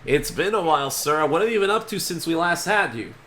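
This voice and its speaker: Congested, high-voiced male game-show host. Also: a little fast, a little hiss or hum. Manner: energetic, chatty, throat-clearing. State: congested from allergies. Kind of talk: homemade game-show hosting.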